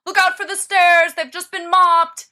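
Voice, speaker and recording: A woman's voice: very high voice, level tone, measured pace, sharp tone, pitched above the performer's own voice, exaggerated voice. A woman voicing a sly, careless teenage boy in an audiobook, flippant and scoffing in manner. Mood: sly mockery. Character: cocky, sly, mocking, careless teenage schoolboy. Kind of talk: dramatised fan-fiction audiobook reading.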